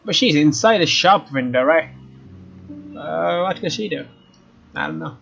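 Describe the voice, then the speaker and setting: Slightly high, dull-sounding young man narrating gameplay. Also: animated, measured pace, gravelly, fairly bright tone. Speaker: let's player. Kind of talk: dramatic read-aloud with casual gameplay commentary. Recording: slightly dull mic over game music. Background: faint game music.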